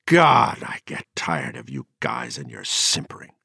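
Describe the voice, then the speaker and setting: A man's voice: lowish voice, very animated, normal speed, dry recording, studio quality, voice pushed up. A man voicing a drunk, bitter and eloquent poet who rants mockingly with a rising voice. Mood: scornful. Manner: mocking, sardonic, raising his voice.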